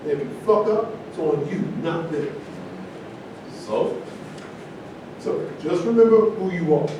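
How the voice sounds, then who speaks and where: Actor as a blunt ex-athlete, distant and echoey. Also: male voice, animated, slow pace, muffled recording. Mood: blunt, insistent. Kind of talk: staged play reading. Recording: distant roomy stage recording, rumbling noise.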